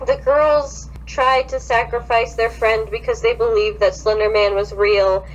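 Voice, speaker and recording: A woman's voice: a little high, steady tone, unhurried. A woman co-hosting a podcast in casual chat, explaining things earnestly with some slang. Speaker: podcast co-host. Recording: dull lo-fi sound, faint hum.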